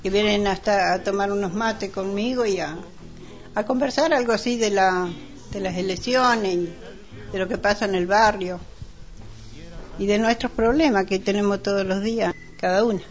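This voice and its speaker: A woman with an Argentine accent playing a neighbourly housewife hosting friends in an amateur radio drama, speaking haltingly and conversationally. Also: medium pitch, faint music behind, close to an everyday voice. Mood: neighbourly, chatty. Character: Selmira, neighbourly housewife hosting women for mate. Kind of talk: amateur community radio drama, semi-improvised chat. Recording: dull lo-fi, clearly noisy.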